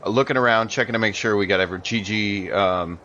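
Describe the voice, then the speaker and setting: Low-voiced man on a muffled mic. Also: fairly quick, bright, forceful tone. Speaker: podcast player. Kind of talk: casual chat. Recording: dull, noisy lo-fi mic.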